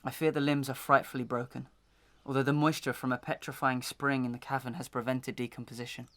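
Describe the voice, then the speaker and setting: A determined gentleman played by a man in a Victorian audio melodrama. His voice sits at a middle pitch, with formal, methodical phrasing and a dramatic storyteller's weight, full of suspicion. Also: flat delivery, fairly quick, no echo, natural voice. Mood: urgent, grave.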